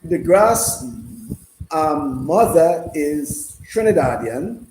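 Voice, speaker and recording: Mellow sports host, roomy sound. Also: male voice, fairly high pitch, animated, faint music behind. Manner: halting, repeats himself. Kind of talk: casual live discussion. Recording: roomy, noisy room sound.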